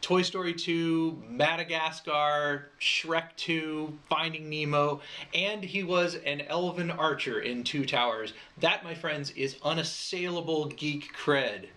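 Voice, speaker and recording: Bright-voiced man making a pitch. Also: takes it slowly. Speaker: writer and project presenter. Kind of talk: scripted crowdfunding pitch.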